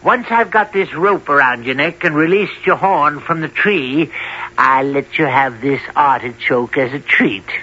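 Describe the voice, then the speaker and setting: A man's voice: fairly high pitch, unhurried, sharp tone, breathy edge, full character voice. A man playing a valiant little tailor in a radio folk tale, chatty, sly and cocky, with a jaunty theatrical delivery. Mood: gleeful, taunting. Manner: theatrical, talks to himself and others jauntily. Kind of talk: 1970s old-time radio drama acting. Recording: muffled, hissy 1970s radio recording.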